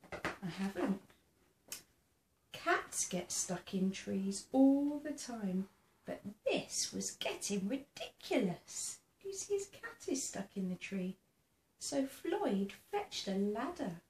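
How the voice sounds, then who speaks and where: A woman reading a children's picture book aloud in a theatrical, expressive way, engaging young listeners. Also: medium pitch. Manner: asks the listening child questions. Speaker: storybook reader. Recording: roomy sound with faint background noise.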